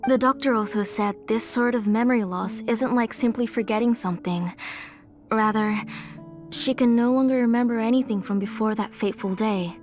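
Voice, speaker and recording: A gentle mother from a video game, played by a woman, with a fairly high voice. She speaks tenderly and with measure, and faint music plays behind her. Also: normal speed, close to an everyday voice. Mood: sorrowful, resigned. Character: gentle, caring, sorrowful mother of a sick child.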